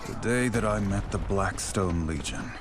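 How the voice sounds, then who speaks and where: A man's voice: low-pitched, unhurried. A man playing a resolute knight, a warden, telling his story in a game in a terse, earnest way. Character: resolute male knight, a warden defending a fortress. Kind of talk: dramatic scripted game narration. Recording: loud battle noise behind.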